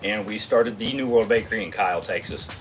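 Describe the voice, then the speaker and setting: A man from Germany, telling a fishing story in a relaxed, casual way with many fillers. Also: on the low side, a little fast, slight background noise, rough recording. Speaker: guest angler and bakery owner.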